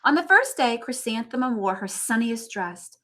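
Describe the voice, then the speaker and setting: A woman teacher reading a storybook aloud to young children, with expressive emphasis and chatty asides to them. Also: fairly high pitch, normal speed.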